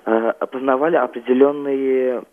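A teenage boy phoning in as an interview guest, answering in a halting, reserved way over a telephone. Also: medium pitch, steady tone. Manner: halting, reserved, often says "like". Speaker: young amateur playwright, phone-in guest. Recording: muffled, band-limited phone line.

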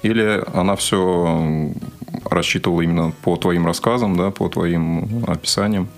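A man with a deep-pitched voice co-hosts a radio interview. He asks in a conversational way, often pausing mid-thought and checking that he has understood. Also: soft background music. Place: radio studio.

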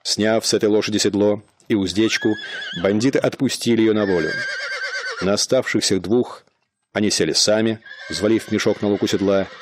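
Low-voiced man narrating a radio play. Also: steady tone, background noise. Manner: measured, dramatic storytelling.

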